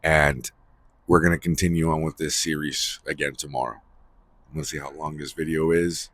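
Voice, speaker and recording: Very deep, husky-voiced man. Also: steady tone, normal speed, a bit bright, dry recording. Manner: emphatic, repeats phrases for stress. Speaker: host of a spiritual series. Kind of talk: rambling, unscripted spiritual monologue.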